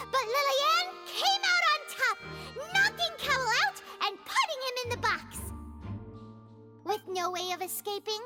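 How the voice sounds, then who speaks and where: Casting: tiny excitable fairy companion, squeaky and extremely high, theatrical, video game detective sidekick over music. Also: female voice, heavily acted. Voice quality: squeaky, childlike. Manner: theatrical, eager storytelling. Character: small excitable childlike fairy girl companion playing detective. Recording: music behind the voice.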